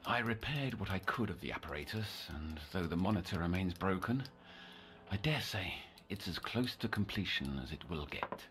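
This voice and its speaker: Brief: brooding psychiatric counsellor for audio drama; low, formal, very expressive range, whispery asides, scheming intensity. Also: male voice, slight background noise. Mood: grave, reflective. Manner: formal, measured diction, muttering into whispers. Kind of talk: audio drama monologue and dialogue.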